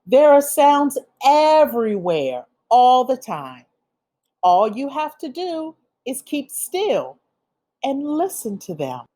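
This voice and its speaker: A woman reading a children's picture book aloud, with expressive, deliberate phrasing for young listeners. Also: high voice. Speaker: read-aloud storyteller.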